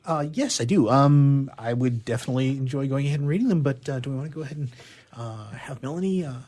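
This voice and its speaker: Husky-voiced man, mid-pitched. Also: quick pace, a little mellow. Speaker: radio theatre player reading poetry. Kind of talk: expressive poetry recitation and casual discussion.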